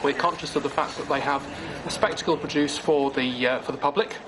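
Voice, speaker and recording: A man's voice: on the high side, fairly even intonation, brisk, crowd noise. A man working as an air traffic control manager, explaining procedures in formal phrasing as in an interview.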